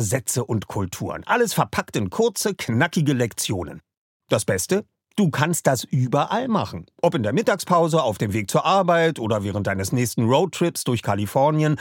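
A man, a podcast host, reading a sponsor ad in an upbeat, salesy way with a husky voice. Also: mid-range voice, no room sound. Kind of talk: ad read. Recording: dry close mic.

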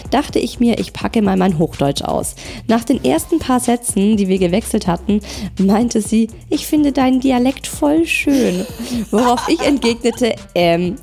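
A woman's voice: medium pitch, darkish tone. A woman co-hosting a casual podcast who reads listener messages aloud and adds chatty asides.

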